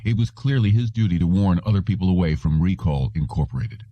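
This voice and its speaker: A man's voice: sits low, animated, fast talker, dry recording, lo-fi sound. A man narrating a piece of written fiction as a scripted audiobook.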